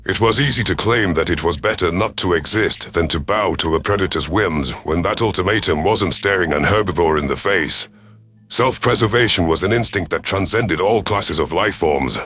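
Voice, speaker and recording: A man reading a first-person science fiction story as a stern alien commander, with formal, measured wording. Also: sits low, lively intonation, fairly bright tone, faint music behind. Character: stern alien reptilian military commander narrating. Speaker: audiobook narrator of science fiction.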